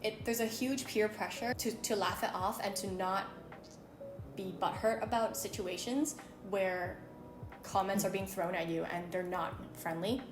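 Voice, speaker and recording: A woman's voice: mid-range voice, unhurried, over music. Woman chatting in an echoey room. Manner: chatty, thoughtful, many fillers. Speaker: co-host of a beauty channel talk. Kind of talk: casual chat.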